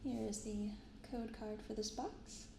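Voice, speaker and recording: Soft-spoken woman with creaky voice, roomy sound. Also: fairly high pitch, fairly even intonation, measured pace. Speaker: host of a card unboxing channel. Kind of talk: soft-spoken ASMR unboxing narration. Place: indoors in an apartment room. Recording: roomy untreated apartment, clear background noise.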